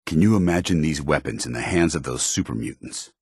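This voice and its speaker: An actor playing a stern, principled military paladin in a video game, speaking in a formal, earnest, commanding soldierly way. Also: male voice, a bass voice, lively intonation, fairly quick, a little mellow, slightly rough, no room sound, studio quality, exaggerated voice. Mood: watchful, businesslike. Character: stern, principled male military paladin, loyal to his order. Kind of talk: video game companion dialogue.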